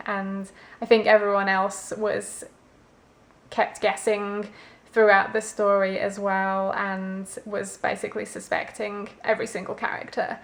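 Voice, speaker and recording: Female book vlogger, casual and conversational, easygoing delivery with little pitch movement. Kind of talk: casual chatty vlog about books.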